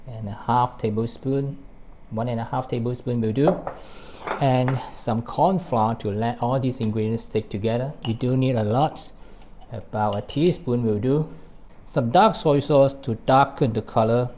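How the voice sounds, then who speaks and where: A man's voice: medium pitch, measured pace, darkish tone, a little hiss or hum. A man with a Malaysian accent hosting a cooking demonstration, explaining things step by step. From born in Hong Kong, grew up in Malaysia. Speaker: cooking show host. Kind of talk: recipe demonstration to camera.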